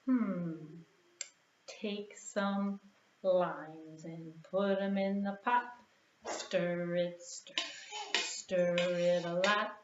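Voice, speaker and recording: Slow-paced woman in an echoey room. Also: lowish voice. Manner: encouraging, simple repetitive phrasing with questions to children. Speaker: children's storytime host at a library. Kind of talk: sing-along storytelling for young children. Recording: roomy echo, dull sound, background noise.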